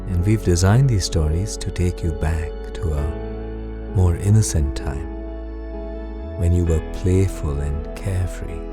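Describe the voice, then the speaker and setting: Deep, slow, husky man telling sleep stories. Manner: gentle, calm, unhurried. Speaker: guided sleep meditation narrator. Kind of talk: soothing scripted bedtime story narration. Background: music.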